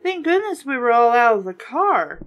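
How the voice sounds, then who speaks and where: A woman's voice: on the high side, very animated, moderate pace, lo-fi sound. A woman voicing a worried, protective mother in a storybook, fretful and questioning. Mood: relieved.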